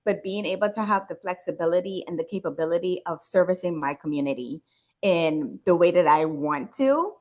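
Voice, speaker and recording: A woman's voice: fairly low pitch, a little fast, a little mellow. A woman in her forties from the Bahamas, telling her own story conversationally as an interview guest. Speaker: interview guest, wellness business owner and nurse.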